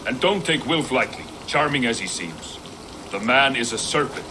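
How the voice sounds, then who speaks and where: A male actor plays a reformed thief in a video game, earnest and world-weary. His mid-pitched voice is sincere and reflective. Loud noise like rain and rumbling runs behind the speech. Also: animated, normal speed, natural voice. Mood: wary. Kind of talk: naturalistic video game cutscene acting.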